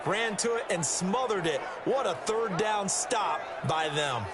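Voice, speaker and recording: A man's voice: sits high, lively intonation, a little slow. A man playing a color commentator in a football video game, explaining plays in an emphatic way. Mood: engaged. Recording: noisy stadium crowd behind the commentary. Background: loud crowd in a football stadium.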